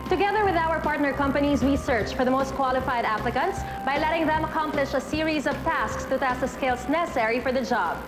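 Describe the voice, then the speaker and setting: A woman co-hosting a reality TV show, reading brisk scripted lines. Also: high voice, echoey room, over music, rough recording. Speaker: co-host.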